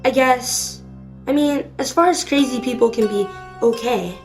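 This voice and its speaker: A woman playing an excitable, squeamish young kid with an expressive manner in an amateur audio drama. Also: a little high, normal speed, soft background music, close to an everyday voice. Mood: animated.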